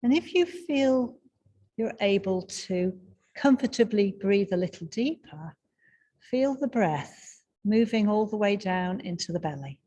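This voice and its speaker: A woman guiding a breathing meditation in a slow, soft and soothing way, with long pauses. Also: medium pitch, expressive. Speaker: meditation guide.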